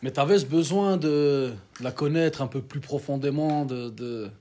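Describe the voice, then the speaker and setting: A man's voice: on the high side, unhurried, warm tone. A man hosting a talk show, asking loose, joking questions in a casual way. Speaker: show host. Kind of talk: casual interview chat.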